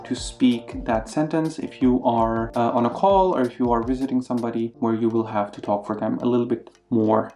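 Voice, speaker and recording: A young man giving casual, upbeat language tips, now and then acting out greetings with big jumps in pitch. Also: moderate pace, hardly any pauses. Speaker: language learning vlogger. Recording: soft music underneath.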